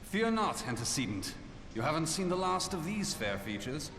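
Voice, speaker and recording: Bright, high-pitched gallant young rogue. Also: male voice, sing-song, moderate pace. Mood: playful bravado. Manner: theatrical, wry, courtly phrasing. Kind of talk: scripted fantasy game cutscene acting. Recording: echoey hall reverb with music behind.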